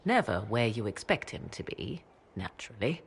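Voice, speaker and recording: An actress voicing a mysterious, secretive mage mother in a video game, speaking in a measured, formal, archaic way. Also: rumbling low, very animated, breathy edge, full character voice. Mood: grave. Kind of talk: video game cutscene dialogue.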